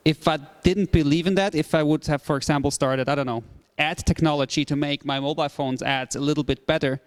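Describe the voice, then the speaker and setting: A man from Switzerland, a startup CEO in his thirties, giving a relaxed presentation talk with many fillers and repeated phrases. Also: a little high, clean tone. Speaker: startup CEO, guest speaker.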